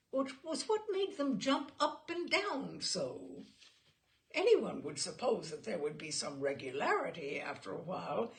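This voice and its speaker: A woman reading literary stories aloud as a storyteller, with expressive, theatrical phrasing. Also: on the high side, vocal fry. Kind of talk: literary storytelling with informal introduction.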